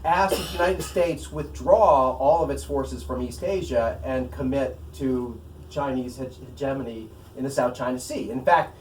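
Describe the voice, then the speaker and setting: A man giving a live reading from his own novel as its author, breaking off for wry explanatory asides. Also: mid-range voice. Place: room at a live bookstore event. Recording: roomy, distant mic, faint noise.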